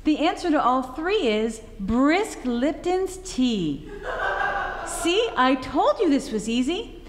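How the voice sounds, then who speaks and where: A woman as a perky high-voiced radio pitchwoman. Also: very animated, slow, background noise, heavily acted. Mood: chipper selling. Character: perky, chipper radio advertising announcer woman. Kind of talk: old-time radio commercial acting. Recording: stage hall recording with reverb.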